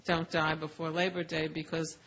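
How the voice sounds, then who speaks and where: A woman's voice: sits low, flat delivery, moderate pace, fairly bright tone, a little hiss or hum. A woman on a discussion panel, speaking reflectively and personally with some fillers.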